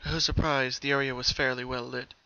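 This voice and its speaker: A man's voice: medium pitch, flat delivery, fairly quick, sharp tone, no room sound, dull audio. A man narrating a story from a script as a dramatic reading.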